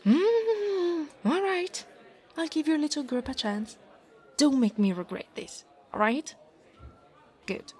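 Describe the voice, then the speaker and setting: A woman's voice: mid-range voice, animated, a little mellow. A woman playing a big, domineering but insecure dragon college bully in an ASMR roleplay, with a teasing, haughty manner. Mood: grudging, proud. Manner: teasing, haughty, drawn-out delivery with breathy asides.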